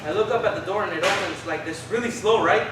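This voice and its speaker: A man acts out a spirited young Chicano activist in a staged reading. The voice is high and the telling colloquial, sprinkled with Spanish words. It is heard from far off in a very echoey, noisy room. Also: fast talker, lightly acted. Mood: awed, earnest. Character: Philip, spirited Chicano youth recalling 1970 activism. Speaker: stage reader in a documentary play. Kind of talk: theatrical monologue, staged reading.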